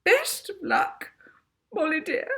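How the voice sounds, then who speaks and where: Weepy, wistful storybook woman played by a female reader, high-pitched, sniffly, melodramatic. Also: wildly swooping pitch, slow pace, gravelly, sharp tone, exaggerated voice. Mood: tearful farewell.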